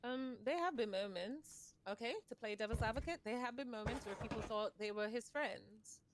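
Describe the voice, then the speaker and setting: A woman playing a measured discussion leader in a fantasy realm, feeling out her words and probing with questions in live online roleplay. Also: a little high, close to an everyday voice. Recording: clearly noisy mic with clicks.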